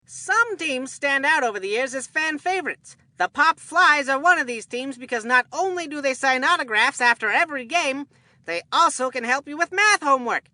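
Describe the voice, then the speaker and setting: A woman's voice: high voice, expressive, moderate pace, sharp tone, no echo, full character voice. A woman voicing a goofy, food-obsessed kid baseball commentator in a video game, with a folksy, rambling, earnest delivery. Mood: nostalgic, earnest. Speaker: sports commentator. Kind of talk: cartoonish scripted game commentary.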